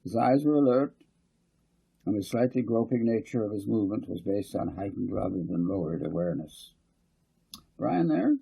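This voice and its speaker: A man's voice: lowish voice, steady tone, fairly quick, creaky, slightly rough, highs cut off. A man reading a story aloud as a scripted audiobook narrator. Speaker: author reading own book as narrator.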